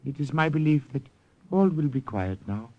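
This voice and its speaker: An actor playing a dignified, diplomatic Regent of Siam in an old-time radio drama, with stately, formal phrasing. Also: male voice, fairly high pitch, animated, normal speed, dull audio, natural voice. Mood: calm, wry. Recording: vintage radio drama recording.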